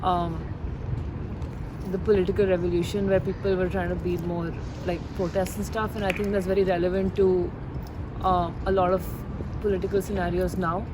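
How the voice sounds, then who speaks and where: A woman's voice: fairly low pitch, flat delivery, moderate pace. Young woman chatting by traffic. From India. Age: 20s. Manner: chatty, loose, many "like" and "um" fillers. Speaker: interviewee, university student. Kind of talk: casual interview answers.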